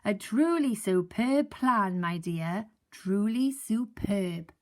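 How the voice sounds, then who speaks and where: A woman's voice: medium pitch, animated, darkish tone. A woman doing a stately old lighthouse keeper. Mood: approving. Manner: stately, approving, slow. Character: calm, agreeable old husband, lighthouse keeper.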